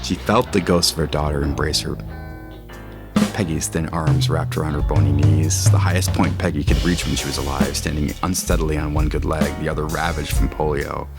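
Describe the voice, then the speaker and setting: A man with a low voice reading scripted literary fiction as a narrator. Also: steady tone, a little fast, gravelly. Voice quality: low-pitched. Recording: music under the voice. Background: guitar music.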